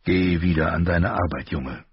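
A man voicing a grave, patient noble lord who speaks low, slow and measured. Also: breathy edge, no echo. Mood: stern. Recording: dull lo-fi recording.

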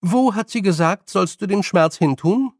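A man voicing a brisk, bossy, good-humoured old woman witch with a loud, teasing and scolding manner, in an audiobook. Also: high voice, dry recording, studio quality, pitched above the performer's own voice. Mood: practical. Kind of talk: audiobook character voice.

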